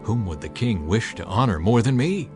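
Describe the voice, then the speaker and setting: A man's voice: fairly low pitch, expressive, normal speed, darkish tone, soft background music, close to an everyday voice. A man voicing a vain, scheming royal official in a grandiose, emphatic manner for a dramatized audiobook. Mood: smug, grand. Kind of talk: dramatized audiobook character acting.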